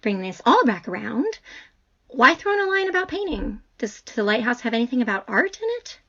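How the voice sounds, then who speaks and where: A woman's voice: fairly high pitch, big pitch swings, brisk, fairly bright tone, muffled recording, heavily acted. A woman playing a hesitant, puzzled, cautious academic who questions things, in an audio drama.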